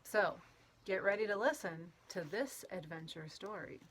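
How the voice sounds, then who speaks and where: A woman reading a children's picture book aloud in an expressive storytelling manner, drawing out words and playing with the sound words. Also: mid-range voice, moderate pace, a little hiss or hum. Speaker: storybook read-aloud reader.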